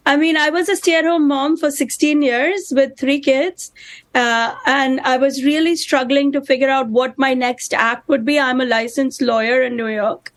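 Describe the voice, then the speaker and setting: A middle-aged woman from India with an Indian accent, a comedian guest joining a radio talk show by video call and telling self-deprecating jokes in a punchy, deadpan way. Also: high-pitched, moderate pace.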